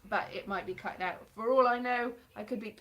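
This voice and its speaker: A woman's voice: fairly high pitch, a little fast, a bit bright. A woman in her thirties chatting casually on a live stream from her hallway at home, rambling and mocking herself with playful sarcasm. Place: home hallway by a staircase, indoors. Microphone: webcam or phone at close range.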